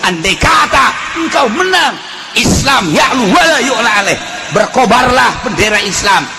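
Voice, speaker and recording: High-pitched Sundanese-accented male preacher. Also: moderate pace, gravelly, over loud music. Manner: animated, shifting between chanting, shouting and folksy asides. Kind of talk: fiery religious sermon with storytelling.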